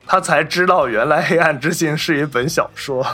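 Male podcast host, very bright mid-pitched voice, casual anecdotal storyteller, music underneath. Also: moderate pace, breathy edge. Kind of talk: casual film discussion, telling behind-the-scenes anecdotes.

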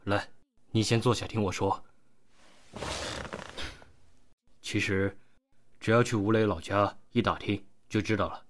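A man's voice: on the low side, steady tone, moderate pace, a little hiss or hum, natural voice. An actor playing an easygoing, placating male investigator who explains things patiently in an audio drama. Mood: calm, placating.

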